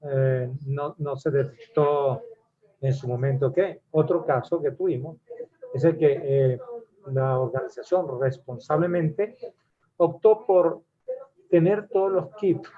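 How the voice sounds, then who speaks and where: A man with a Venezuelan accent speaks up in an online Q&A, halting often with fillers as he explains. His voice sits a little high. The audio is thin and tinny, as over a phone line. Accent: Venezuelan Spanish. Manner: hesitant, many fillers and restarts. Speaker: webinar attendee asking a question. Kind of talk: casual comment in a Q&A.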